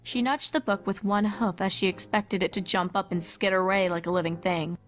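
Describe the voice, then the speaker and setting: A woman narrator reads a scripted story at a medium pitch. Soft music sits faintly behind the narration. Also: steady tone, brisk.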